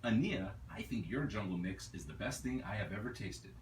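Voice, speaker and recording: A man voicing a small, polite, earnest male fox spirit with a rich, measured voice in a storybook. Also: on the low side, animated, reverberant, a little hiss or hum, close to an everyday voice. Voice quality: rich, pleasant.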